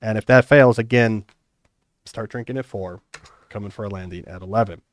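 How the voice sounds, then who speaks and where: A man's voice: on the low side, normal speed, no echo. A middle-aged man hosting a podcast in casual, joking conversation, with loose restarts. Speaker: podcast host.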